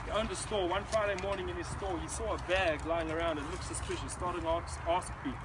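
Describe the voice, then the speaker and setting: A man's voice: sits high, steady tone, quick pace, heavy background noise. A middle-aged man with a South African accent giving an earnest, emphatic motivational speech to a visiting group outdoors. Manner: earnest, emphatic, acts out quoted shouting. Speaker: speaker addressing a visiting group.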